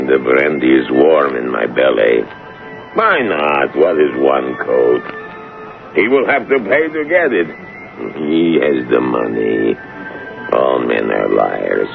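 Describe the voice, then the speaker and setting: Gravelly, very deep-voiced grumpy tailor. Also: male voice, expressive, takes it slowly, narrow, tinny audio, exaggerated voice. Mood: amused, musing. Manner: blunt, haggling, grumbling. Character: gruff, stingy, brandy-loving male Russian tailor. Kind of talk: 1970s radio drama acting. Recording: band-limited old radio recording, music.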